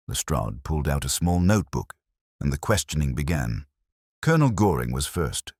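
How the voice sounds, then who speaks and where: A man's voice: rumbling low, sing-song, moderate pace, a little mellow, no room sound, studio quality. A man reading a mystery story as scripted audiobook narration.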